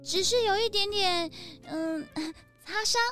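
Super high cutesy young miss, slow n sweet, kids mystery audio drama. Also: female voice, bright, forceful tone, soft background music, full character voice. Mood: sweet, demure. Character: dainty, sweet young woman, evasive suspect. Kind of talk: children's detective audio drama.

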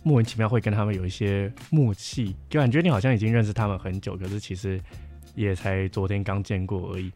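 Low-pitched young man chatting over music. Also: fairly even intonation, a little fast, warm tone. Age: young adult. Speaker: podcast guest. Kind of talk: casual chat. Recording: background music behind the voice.

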